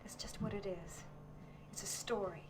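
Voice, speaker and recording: A woman's voice: mid-range voice, fairly even intonation, normal speed, background noise, close to an everyday voice. An actress playing a defensive, evasive mother with a curt delivery in a live-action video game. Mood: defensive denial.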